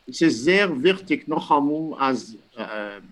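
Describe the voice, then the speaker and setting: A man's voice: a little high, expressive, a little slow, fairly bright tone, low-quality recording. A man in casual conversation who speaks insistently and keeps stressing that something is important, breaking off and restarting his sentences.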